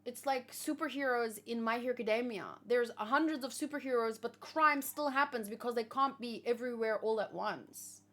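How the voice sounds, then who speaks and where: A woman hosting a read-along, chatting casually with viewers and thinking aloud, with hesitant pauses and musing hums. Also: fairly high pitch, a bit bright. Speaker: host of a manga read-along reaction show.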